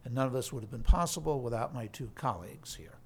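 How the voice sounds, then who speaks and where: Law prof guy, mid-pitched voice, measured and articulate, podcast guest. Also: creaky, a little hiss or hum. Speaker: law professor and center director. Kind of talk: podcast interview.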